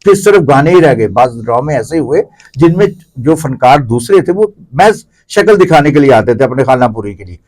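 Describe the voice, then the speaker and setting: A Pakistani man speaks as a guest commentator in an interview. His voice sits at an average male pitch. He explains conversationally, stacking examples and repeating himself to make the point. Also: very animated, darkish tone.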